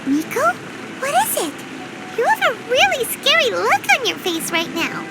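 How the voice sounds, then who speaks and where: A woman voicing a tiny, excitable female fairy companion in a video game, shrill and protesting. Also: normal speed, very noisy, full character voice. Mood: flustered. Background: game music.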